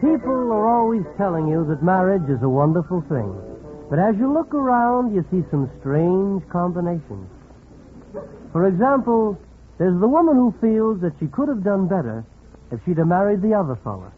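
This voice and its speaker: An American actor playing a wry, friendly comic narrator who addresses the listener directly in 1940s radio comedy style. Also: male voice, high voice, big pitch swings, normal speed, faint music behind. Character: wry friendly observer of married life. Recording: thin, muffled, noisy 1940s radio.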